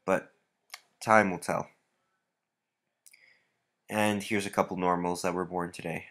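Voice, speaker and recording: A man with a somewhat low voice talks through a stuffy nose. He rambles casually as he walks through his breeding rack, filling pauses with lots of "uh" and "um.". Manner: relaxed, rambling, many fillers. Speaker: reptile breeder. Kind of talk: casual walkthrough vlog.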